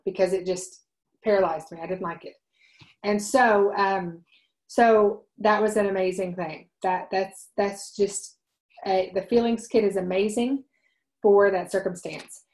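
A woman's voice: medium pitch, low-quality recording. A woman in her forties hosting a wellness livestream from home, telling a personal story earnestly with many ums and restarts, speaking into a webcam. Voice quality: loud voice. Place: home room, indoors. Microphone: webcam at desk.

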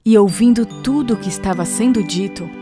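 A Brazilian woman narrating a scripted children's fable in an expressive storytelling style. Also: mid-range voice, brisk. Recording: music behind the voice.

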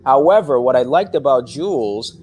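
A young man, an actor, answering interview questions on a video call from his living room couch, animated with lots of fillers. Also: medium pitch, moderate pace, highs cut off, a little hiss or hum. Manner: animated, gestures a lot, frequent fillers. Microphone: webcam on video call.

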